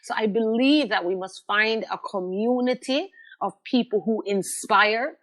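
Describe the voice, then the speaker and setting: A woman's voice: on the high side, a little slow, fairly bright tone. Emphatic woman, conversational guest. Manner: emphatic, repeats phrases for stress. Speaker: podcast guest, radio host. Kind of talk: conversational interview.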